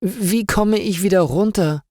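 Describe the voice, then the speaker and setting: A man's voice: high voice, unhurried, darkish tone, no room sound, clean studio sound, voice pushed up. A man voicing a flustered, hesitant eight-year-old schoolgirl in a storybook reading. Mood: startled.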